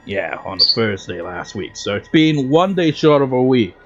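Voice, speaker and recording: A man's voice: medium pitch, sing-song, moderate pace, fairly bright tone, muffled recording, faint music behind. A man hosting a video game let's play, chatting in a rambling, self-deprecating way while still getting over a cold. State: recovering from a cold. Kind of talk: rambling casual commentary.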